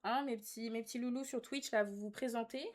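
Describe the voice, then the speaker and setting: A woman's voice: a little high, fairly even intonation, a little fast. Young woman, sassy live streamer. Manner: teasing, sassy, slangy. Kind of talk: casual live chat, reading and answering viewer comments.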